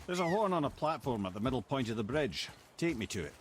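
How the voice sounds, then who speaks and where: A man's voice: mid-range voice, very animated, fast talker, slight background noise, close to an everyday voice. A man playing a genial, witty old severed head and lore-keeper with a Scottish accent, wry and wordy, in a video game. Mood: brisk, guiding. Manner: wry, wordy, chatty storytelling. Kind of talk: cinematic video game acting. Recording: music under the voice.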